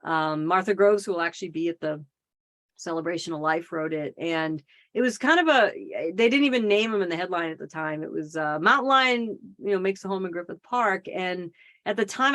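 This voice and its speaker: A middle-aged woman with a slightly low voice, a podcast guest telling a story. She talks in a loose, chatty way, full of fillers and restarts, with dry jokes at her own expense. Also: fairly bright tone. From Boston area, Massachusetts. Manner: chatty storyteller, self-deprecating humor, frequent fillers. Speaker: podcast guest, wildlife advocate. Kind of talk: casual conversational interview.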